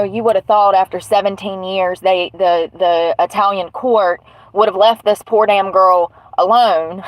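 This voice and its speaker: A woman's voice: mid-range voice, no room sound, rough recording. A woman with a stuffy nose, rambling bluntly and swearing casually as she hosts a live stream from inside her truck. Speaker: livestream host. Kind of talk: casual livestream chat.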